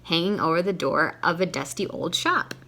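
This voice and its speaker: A woman's voice: fairly low pitch, normal speed, vocal fry, sharp tone. A woman reading a children's picture book aloud expressively, stopping to put questions to young listeners.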